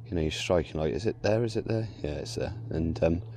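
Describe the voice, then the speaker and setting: Male angler, low warm voice, easygoing talk to camera outdoors. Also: a little fast, slight background noise. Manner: relaxed, chatty, explains his tackle. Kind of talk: casual fishing commentary. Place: canal bank outdoors.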